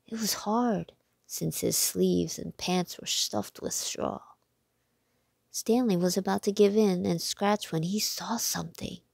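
A woman narrating a children's storybook aloud in a measured, clearly phrased way. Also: medium pitch, darkish tone, no echo, clean studio sound.

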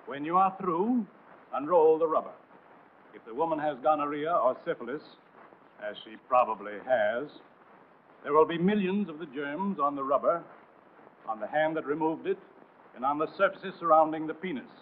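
A man's voice: fairly high pitch, animated, normal speed. A man narrating a 1940s military training film in a formal, authoritative, didactic and emphatic scripted style. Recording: noisy, tinny 1940s film soundtrack.

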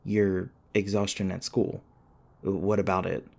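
Audio roleplay: male actor as anxious young student, low and creaky, wry, flustered, halting rambler. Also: flat delivery, darkish tone, full character voice. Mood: curious, wry. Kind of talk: audio roleplay acting, one-sided dialogue.

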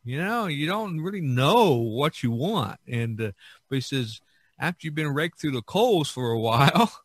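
A middle-aged or older man hosting a casual podcast, talking in a halting, rambling way with many pauses. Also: on the high side, lively intonation, husky, no room sound, clean studio sound. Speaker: podcast host.

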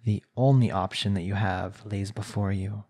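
A man's voice: on the low side, fairly even intonation, darkish tone, husky. A man playing a smug, bratty villain who flirts with his captive in an intimate, condescending ASMR roleplay. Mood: menacing, possessive. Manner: teasing, condescending, unhurried pet-name sweet talk.

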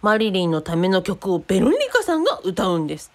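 Woman as a lively, polite young man. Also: medium pitch, fast, lightly acted. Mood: earnest, insistent. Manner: courteous, matter-of-fact. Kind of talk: radio drama acting.

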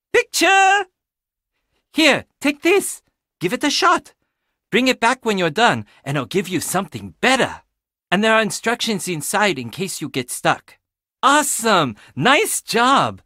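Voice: mid-range voice, bright, forceful tone, dry recording, studio quality, heavily acted. An actor playing a quirky, chatty young handyman who loves puzzles in a video game, enthusiastic with sardonic, casual asides. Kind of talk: video game character dialogue.

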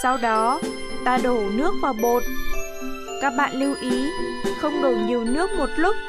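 A woman's voice: high voice, normal speed, loud music behind the voice, lightly acted. A woman voicing a cheery bear who presents a cartoon cooking show in a friendly, step-by-step manner. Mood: friendly, instructive.

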